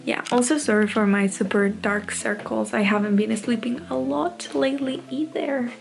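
A young woman from Spain, speaking English, chatting candidly and often softly about books in her bedroom, filmed at arm's length. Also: on the high side, steady tone, moderate pace, gravelly, soft background music. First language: Spanish. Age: young adult. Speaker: book vlogger. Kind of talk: casual vlog chat. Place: bedroom at home. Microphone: on-camera mic, camera at arm's length.

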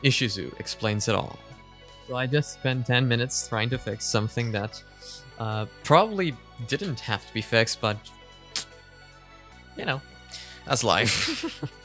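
A man in his twenties giving casual, animated reaction commentary with halting starts, close on a studio mic. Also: medium pitch, soft background music. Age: 20s. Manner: halting, animated, laughs easily. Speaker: host of a reaction video series. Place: small room at home, indoors. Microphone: close boom-arm studio mic.

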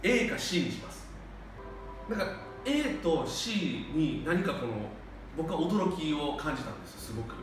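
Man, thirties, animated, café host, far from mic, echoey room. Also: on the high side, unhurried, music in the background. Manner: animated, laughs often, thinks aloud. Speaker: coffee shop owner and host. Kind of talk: casual chat during a coffee tasting. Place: small bright café seating area, indoors. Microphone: camera from a few meters away.

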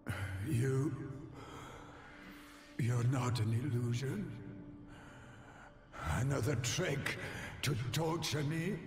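Tormented male angel, gravelly and echoing. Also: fairly high pitch, heavily acted. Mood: wary, pained. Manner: halting, theatrical, formal. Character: tormented male angel warrior, weary and formal. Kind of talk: dramatic fantasy game dialogue. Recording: echoey reverberant, noisy ambience behind.

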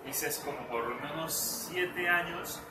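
Young man, mid-pitched voice, halting with fillers, far from the mic, roomy living room, music behind. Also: very noisy. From El Salvador, raised in California. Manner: hesitant, many fillers like este and eh. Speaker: actor, interview guest. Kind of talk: casual interview. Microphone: far camera.

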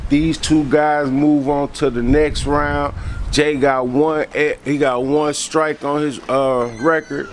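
A man's voice: fairly high pitch, level tone, slow. A man hosting a casual spelling contest vlog with playful, slangy banter and some swearing. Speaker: host and quizmaster. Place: barbershop and outdoor back deck. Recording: echoey room, clear background noise.

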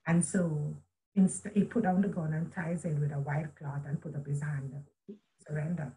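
Low-voiced woman telling a story. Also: fairly even intonation, normal speed, dark tone, rough recording. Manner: earnest, reflective, with many restarts and quoted speech. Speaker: author and guest. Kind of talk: storytelling in a video call interview. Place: room at home with a chandelier. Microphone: video call webcam.

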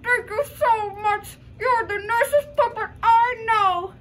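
Girl doing a super high bright puppet customer, weepy and gushy, drags out the words, school comedy skit. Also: slow pace, slight background noise, full character voice. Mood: grateful, gushing. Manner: theatrical, exclaiming. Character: weepy, gushing sock puppet customer in a pet shop.

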